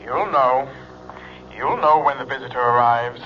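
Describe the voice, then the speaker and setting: An actor playing a sinister, controlling fortune teller on the telephone in an old radio drama, speaking in a slow, insistent way. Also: male voice, medium pitch, close to an everyday voice. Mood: ominous. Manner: slow, insistent, ominous repetition. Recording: dull, noisy old radio recording.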